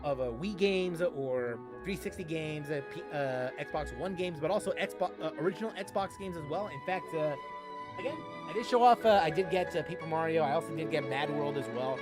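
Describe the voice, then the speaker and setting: A man's voice: a little high, normal speed, over music. A young man hosting a gaming channel, talking casually and rambling with many fillers and restarts. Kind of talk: casual unscripted vlog talk.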